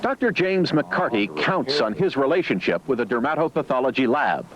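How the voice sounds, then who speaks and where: A man's voice: mid-range voice, very animated, moderate pace, a crowd in the background, poor audio. A man reading scripted documentary narration in the role of narrator. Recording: music bed under voice. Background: loud music.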